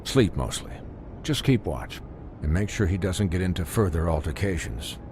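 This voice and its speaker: Fussy deep-voiced doctor, animated. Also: male voice, moderate pace, noisy background. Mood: earnest, weary. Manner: formal, clipped, condescending. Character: fussy, dry-witted male doctor, weary and courteous. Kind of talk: video game voice acting.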